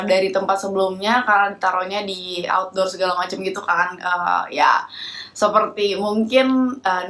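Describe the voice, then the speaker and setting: Young woman with a cold, nasal and chatty, mid-pitched, for a casual how-to vlog. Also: a bit bright. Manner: chatty, mixes English phrases, many fillers. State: stuffy nose from a cold. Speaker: plant care vlogger.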